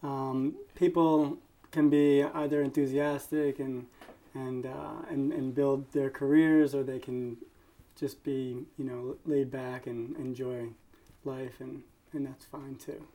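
A soft-spoken man from New York speaking on a discussion panel. His voice is mellow and warm at a middle pitch, and he talks hesitantly, often trailing off at the ends of his thoughts. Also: level tone, slow pace, low-quality recording.

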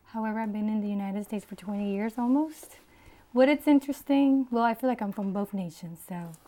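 Mellow-voiced woman from the Dominican Republic. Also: mid-range voice, brisk. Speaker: panelist.

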